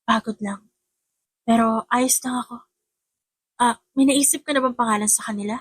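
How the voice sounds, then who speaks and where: A woman's voice: a little high, fairly even intonation, moderate pace, slightly rough, no room sound. A woman with a Filipino accent voicing an affectionate young bride and new mother in a romance audiobook. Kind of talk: romance audiobook character acting.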